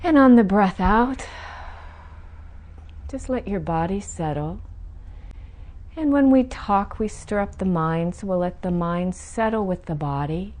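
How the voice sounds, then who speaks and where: A woman guiding a meditation, giving soothing, gentle instructions with unhurried pauses between phrases. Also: mid-range voice, lively intonation. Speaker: meditation teacher guiding a session. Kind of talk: guided meditation.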